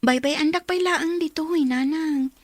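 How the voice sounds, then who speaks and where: High-pitched young woman, played by an actress, Filipino accent, remorseful pregnant daughter, radio drama, dry recording. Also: normal speed, no echo, natural voice. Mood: sad, resigned.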